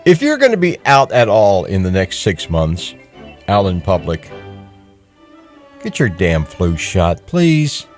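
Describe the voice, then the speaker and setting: Blunt lively radio talk host man. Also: low voice, slow pace, soft background music. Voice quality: blunt, mildly profane, conversational. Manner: lively, hectoring, with frequent fillers and restarts. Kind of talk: call-in talk radio monologue. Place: radio studio. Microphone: studio microphone.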